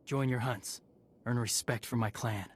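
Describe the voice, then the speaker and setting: An actor playing an earnest young warrior in a video game, solemnly seeking honor. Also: male voice, mid-range voice, steady tone, unhurried, husky, no room sound.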